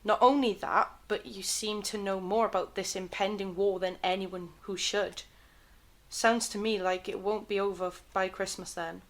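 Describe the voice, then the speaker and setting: A woman playing a suspicious, sensible 1930s television worker in a fan audio drama, measured and pointed. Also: medium pitch, steady tone, natural voice.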